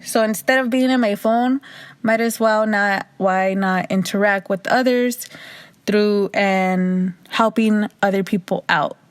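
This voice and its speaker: A young woman with a raspy voice hosting her own podcast, talking loosely and casually, swearing freely, a little tipsy. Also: mid-range voice, no echo. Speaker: podcast host. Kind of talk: casual solo monologue.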